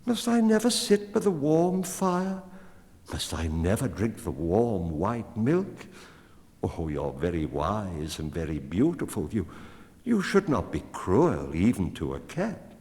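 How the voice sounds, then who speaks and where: A man's voice: on the low side, wildly swooping pitch, slow pace, darkish tone, long reverb tail, heavily acted. A man playing a sly proud cat.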